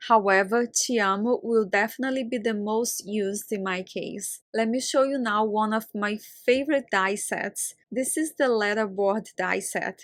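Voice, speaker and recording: A young woman whose first language is Portuguese, reading a calm, careful voice-over for a craft video she hosts. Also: mid-range voice, no echo, studio quality. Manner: calm, careful, evenly read narration. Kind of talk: scripted product voice-over.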